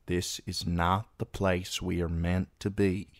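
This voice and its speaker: Man voicing a solemn, slow-spoken boy. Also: sits low, little pitch movement, no room sound, clean studio sound. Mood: grave. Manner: grave, measured, foreboding. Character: solemn, cautious boy, grave beyond his years.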